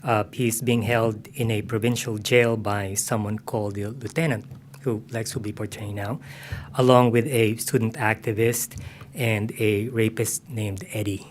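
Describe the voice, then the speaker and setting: A man's voice: on the low side, steady tone, unhurried. A man giving a spoken introduction to a reading at a literary event, with background noise. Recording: clear background noise, live event ambience.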